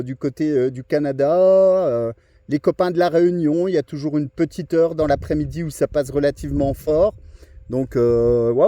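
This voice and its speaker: Male radio hobbyist, fairly high mellow voice, casual conversational contact chat, keeps checking the listener follows. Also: no echo. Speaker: amateur radio operator.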